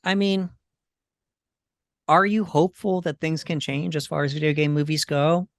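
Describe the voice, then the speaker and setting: A man hosting a casual podcast about movies, thinking aloud in a halting way. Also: fairly high pitch, fairly even intonation, dry recording, studio quality. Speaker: podcast host.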